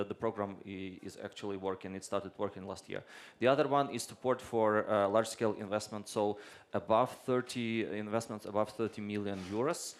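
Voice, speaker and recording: A man speaking as a government deputy minister on a panel, answering hesitantly with frequent restarts. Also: on the low side. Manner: hesitant, many restarts and fillers.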